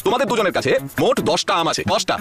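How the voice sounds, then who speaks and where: A man's voice: high voice, sing-song, rapid-fire, music in the background. A man voicing a flustered, eager cartoon father who teaches his young sons and is easily exasperated. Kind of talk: animated cartoon comedy dialogue.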